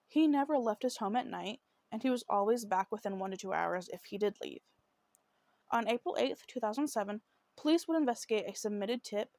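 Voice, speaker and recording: A woman hosting a true crime podcast with a slightly rough voice, narrating a case from notes in an apologetic way and often tripping over her words. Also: mid-range voice, darkish tone, dry recording. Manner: apologetic, trips over words, many fillers.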